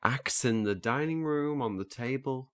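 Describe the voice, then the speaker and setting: Hesitant, stammering, slow-talking earnest man played by an actor. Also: medium pitch, fairly bright tone, no echo, natural voice. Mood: patient, instructing. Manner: hesitant, stammering, restarts phrases. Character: earnest, flustered male learner eager to master memory techniques. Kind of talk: naturalistic audio drama dialogue.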